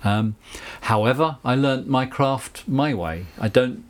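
A middle-aged man with a Southern English accent, a recording engineer telling anecdotes in a relaxed interview, chatty with frequent asides. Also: medium pitch, takes it slowly. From England. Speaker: guest, recording engineer and producer.

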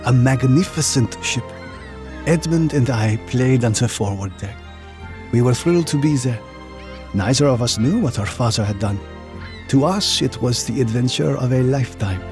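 A man's voice: mid-range voice, moderate pace, music in the background, lightly acted. An actor playing a reflective elderly survivor recalling his boyhood in an audio drama, wistful and reminiscing. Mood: nostalgic.

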